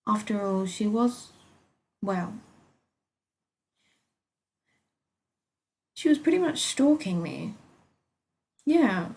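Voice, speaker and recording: A woman's voice: medium pitch, very animated, takes it slowly, gravelly, a little mellow, heavily acted. A British woman voicing a petulant, sarcastic young female hospital patient in a dramatic first-person story reading, exasperated and huffy. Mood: sarcastic, indignant.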